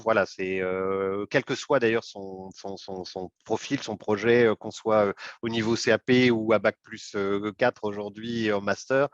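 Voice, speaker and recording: Halting man on a dry, dull webinar line. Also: on the low side, no echo. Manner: halting, many fillers and restarts. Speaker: webinar guest speaker. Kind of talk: hesitant spontaneous talk.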